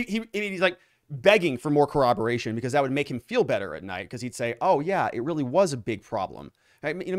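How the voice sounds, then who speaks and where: A man in his thirties talks into a close studio mic, his pitch swinging widely as he mocks the clips he plays. The sound is dry, with no room echo, and his delivery is sarcastic and lively. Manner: sarcastic, animated, interrupts clips. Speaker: legal commentary host. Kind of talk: casual commentary reacting to clips. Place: home studio, indoors. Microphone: studio mic on boom, close.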